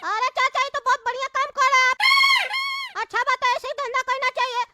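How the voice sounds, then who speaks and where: Woman squeaking as a whiny bald cheeky man. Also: sing-song, normal speed, shouting, over loud music. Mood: wheedling. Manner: squeaky, wheedling, whining. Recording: noisy recording with clicks.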